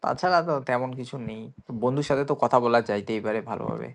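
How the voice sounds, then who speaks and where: A man's voice: medium pitch, quick pace. A young man in a casual phone chat, talking in a hesitant, rambling way. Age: young adult. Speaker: prank caller.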